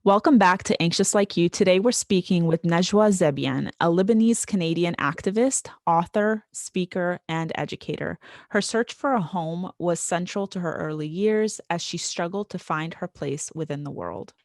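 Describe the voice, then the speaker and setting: A woman's voice: fairly low pitch, moderate pace, hardly any pauses, no echo, clean studio sound. A woman therapist co-hosting a podcast, introducing a guest and asking questions. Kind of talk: podcast interview.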